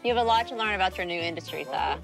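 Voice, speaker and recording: A woman's voice: medium pitch, very fast, gravelly, music in the background. A woman chatting casually on a reality show, upbeat and encouraging. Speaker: reality show cast member. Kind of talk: casual chat.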